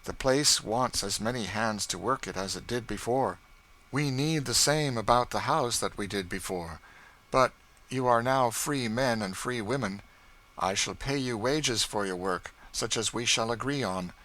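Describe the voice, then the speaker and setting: A man voicing a kind, earnest young master who speaks in a measured, formal way in an audiobook. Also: medium pitch, pushed and bright, pitched above the performer's own voice, low-quality recording.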